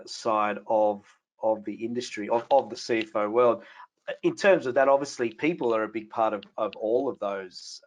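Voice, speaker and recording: A man hosting a live business webinar, reading a scripted opening and then asking loose questions to a panel. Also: fairly low pitch. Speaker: webinar host, company CFO.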